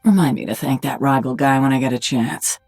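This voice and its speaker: A woman voicing a sardonic, commanding alien-hybrid warrior queen in a video game, with a husky voice. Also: a bass voice, brisk, darkish tone, faint music behind, full character voice. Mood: dry, wry. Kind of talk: video game character voice lines.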